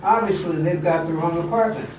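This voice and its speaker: A man's voice: sits high, a little fast, lightly acted. A man playing a bewildered, flustered leading man in an old-time radio drama, heard from a distance in a very echoey room. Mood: puzzled. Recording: very echoey, distant stage mic with faint noise.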